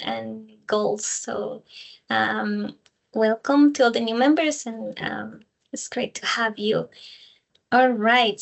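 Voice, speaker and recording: Gravelly woman on a video call, slow talker, kinda high, lots of ums. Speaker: meeting facilitator. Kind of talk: online meeting introductions.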